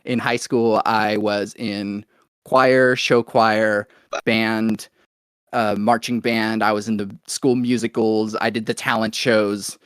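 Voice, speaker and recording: A man with an American accent telling his own story in a casual, rambling interview style, as if thinking out loud. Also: fairly low pitch, level tone, slow, smooth, no echo. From Oklahoma, United States, born in Venezuela. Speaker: podcast guest, musician and producer.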